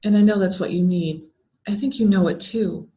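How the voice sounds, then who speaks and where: A woman voicing a ghostly female reflection in a horror story, deliberate, tempting and persuasive. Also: mid-range voice, warm tone, natural voice. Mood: coaxing. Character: ghostly female mirror double, tempting and coaxing. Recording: dull, lo-fi sound.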